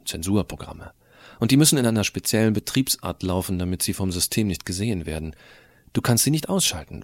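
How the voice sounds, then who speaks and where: A man's voice: lowish voice, no room sound, close to an everyday voice. A man playing a cheeky, rebellious, tech-savvy teenage schoolboy who narrates an audio drama, explaining things to the listener in a colloquial, wry way. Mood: matter-of-fact, scornful.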